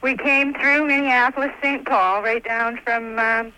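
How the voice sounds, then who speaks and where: A woman's voice: a little high, fairly even intonation, measured pace, sharp tone, smooth, poor audio. A woman with a Canadian accent calling in to a radio show, cheerful and laughing often. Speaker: caller. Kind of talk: casual radio phone chat.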